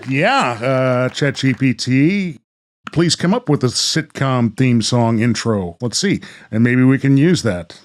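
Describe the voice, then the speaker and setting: A man's voice: medium pitch, slow. Rambling, jokey male podcast host. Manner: jokey, self-aware. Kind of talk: casual, rambling podcast chat.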